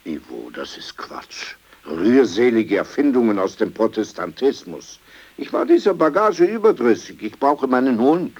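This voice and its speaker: A man's voice: medium pitch, big pitch swings, unhurried, a little mellow, slightly rough, muffled recording. An actor playing an old, brusque, world-weary man with a sardonic manner in a radio drama. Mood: brusque, dismissive. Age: older.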